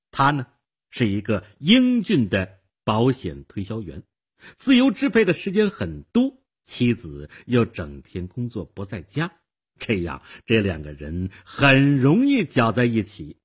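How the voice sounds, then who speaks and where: A man voicing a shrewd, composed police detective with a measured, knowing manner in an audiobook crime story. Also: mid-range voice, wildly swooping pitch, breathy edge, full character voice. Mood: knowing, explaining. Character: shrewd, composed male police detective explaining human nature.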